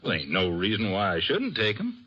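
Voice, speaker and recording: An actor playing a restless American gambler father in an old-time radio western, blustery and regretful, with a halting, folksy delivery. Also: lowish voice, huge pitch swings, sharp tone, slightly rough, muffled recording, full character voice. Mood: surprised, proud.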